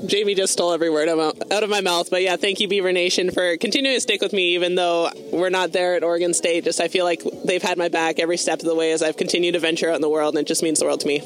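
A woman's voice: on the low side, steady tone, fast talker, hardly any pauses. A Canadian woman basketball player answering interview questions earnestly and laughing easily, outdoors. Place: outdoor event stage.

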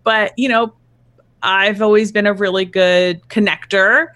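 A woman's voice: mid-range voice, steady tone, measured pace, fairly bright tone. A woman in her thirties, a matchmaker on a podcast, chatting casually and explaining with stories. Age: 30s. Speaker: matchmaker guest.

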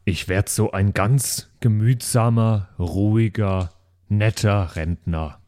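A man's voice: lowish voice, slow, warm tone. A man in casual chat as a tabletop role-player, thinking aloud a little hesitantly.

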